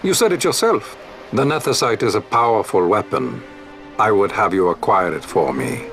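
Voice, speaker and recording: An actor playing a determined resistance commander who speaks in formal, resolute sentences. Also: male voice, on the low side, very animated, moderate pace, over music. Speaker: resistance leader. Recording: game cutscene with loud orchestral score.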